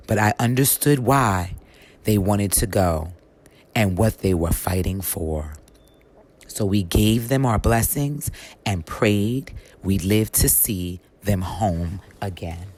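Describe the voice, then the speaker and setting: A woman reading aloud as a folksy, plainspoken grandmother narrator of a history storybook, in a measured storytelling manner. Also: extremely low pitch, a little mellow, full character voice. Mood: tender, resigned. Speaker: reader of a children's history book. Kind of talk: scripted storybook read-aloud in first person.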